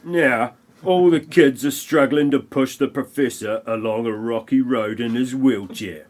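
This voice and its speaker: A man narrating a comic parody audio play in a wry, mocking manner. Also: medium pitch.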